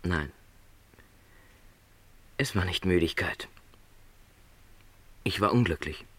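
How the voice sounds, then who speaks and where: An actor playing a gentle, lonely middle-aged travelling salesman in a literary radio drama, speaking in a measured, reflective, hesitant way. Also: sits low, lively intonation, husky, no echo, heavily acted. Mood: subdued sadness.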